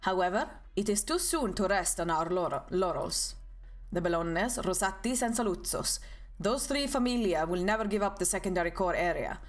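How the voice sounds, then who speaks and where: Calm young mercenary woman, read aloud by a streamer. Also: moderate pace, lightly acted. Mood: calm, assured. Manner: reading game dialogue aloud in a low, even, calm tone.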